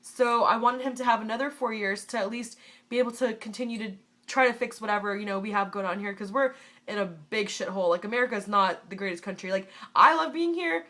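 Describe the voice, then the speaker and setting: A woman's voice: medium pitch, a little fast, pushed and bright. A woman in her twenties from the United States, stuffy from a cold, talking bluntly and casually and swearing freely in a vlog. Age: 20s. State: congested from a cold. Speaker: vlogger.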